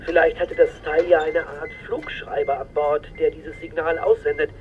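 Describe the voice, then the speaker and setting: Casting: male actor as breezy, flirtatious starship pilot, high voice, noisy sci-fi radio play. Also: flat delivery, moderate pace, phone-quality sound, natural voice. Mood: brisk, confident. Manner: teasing, self-assured. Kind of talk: audio drama acting.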